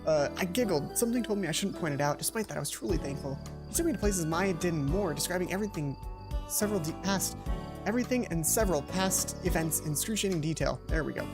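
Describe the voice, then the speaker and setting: A man's voice: sits high, animated, quick pace. A young man hosting a let's play, reading a visual novel aloud and adding casual commentary. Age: young adult. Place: small indoor home room. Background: game music.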